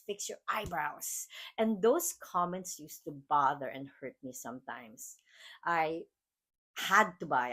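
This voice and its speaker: A woman's voice: lowish voice, fairly bright tone. A middle-aged woman from the Philippines with a Filipino accent, hosting a podcast and speaking earnestly about self-love, with frequent "like" and restarts. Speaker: podcast host. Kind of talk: personal motivational talk.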